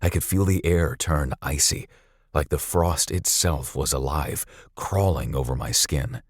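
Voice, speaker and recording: A man narrating a scripted story dramatically, with a suspenseful, deliberate delivery. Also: very deep voice, husky, dry recording, studio quality.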